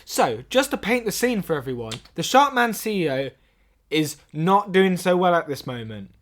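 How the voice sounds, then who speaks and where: A man co-hosting a comedy podcast, bantering in a mock-earnest, deadpan way. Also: sits high, normal speed, a little hiss or hum. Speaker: podcast co-host. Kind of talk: scripted comedy banter, mock film retrospective.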